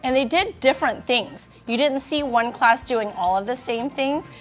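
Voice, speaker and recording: Female presenter, high pitch, upbeat scripted read over light music. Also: moderate pace.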